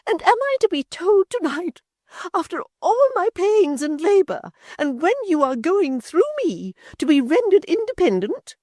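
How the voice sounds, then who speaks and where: A querulous old mother who whines and shrieks in a high, swooping voice, as a male reader puts her on. She is self-pitying and theatrical, from a storybook reading. The sound is close and dry. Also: big pitch swings, normal speed, a little mellow, no room sound, full character voice. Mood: shrieking. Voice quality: shrieking, whining. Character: aged palsied mother, shrill, whining, self-pitying. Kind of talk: audiobook character voice.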